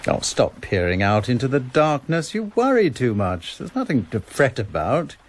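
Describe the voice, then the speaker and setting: Brisk husband, animated pitch. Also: male voice. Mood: fussing, brisk. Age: middle-aged. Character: brisk, fussing, practical middle-aged husband and father. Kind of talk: radio drama acting.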